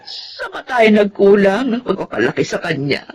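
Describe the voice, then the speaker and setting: A woman's voice: medium pitch, big pitch swings, normal speed, a little mellow, breathy edge. A woman playing a sorrowful, longing middle-aged Filipina mother in a radio drama, with a plaintive way of speaking. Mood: sorrowful, tearful. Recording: faint background noise and ambience.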